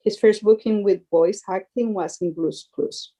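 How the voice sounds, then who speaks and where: A woman with a soft, mellow tone talks earnestly as a parent on a panel. She often restarts and repeats words as she looks for the right phrasing. Her pitch sits in an ordinary middle range. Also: measured pace. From Costa Rica. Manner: earnest, repeats words while searching for them. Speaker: parent of young actors. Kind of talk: panel discussion.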